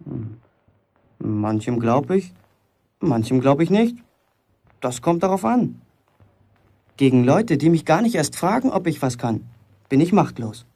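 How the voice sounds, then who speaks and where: A man's voice: on the high side, big pitch swings, a little slow, gravelly, long pauses, warm tone, slightly rough, full character voice. A young man playing a proud, wary teenage apprentice in a German radio drama, terse and dry. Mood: earnest.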